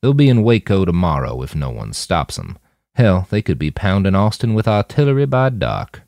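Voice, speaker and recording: A man's voice: low voice, sing-song, normal speed, darkish tone, no echo, studio quality. A man voicing a brash, blunt, foul-mouthed mercenary leader in an audiobook story, with a taunting, cocky manner.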